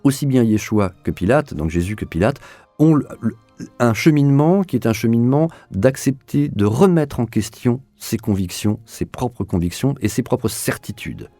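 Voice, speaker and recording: A man's voice: mid-range voice, animated, normal speed, warm tone, no room sound, faint music behind. A man being interviewed as an audio drama director, answering spontaneously in an earnest, searching way, often repeating words as he feels for the next one.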